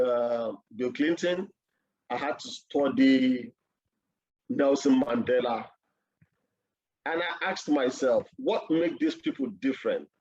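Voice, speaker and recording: A man's voice: medium pitch, a little mellow. Man, mid-range voice, earnest speaker on a dull-sounding video call. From Nigeria. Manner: earnest, personal storytelling. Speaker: conference speaker. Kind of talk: conference talk. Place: office.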